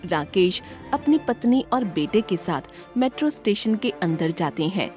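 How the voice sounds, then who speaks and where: Woman narrating an animated spooky story. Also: mid-range voice, measured pace, faint music behind. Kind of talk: scripted storybook narration for animated horror tales.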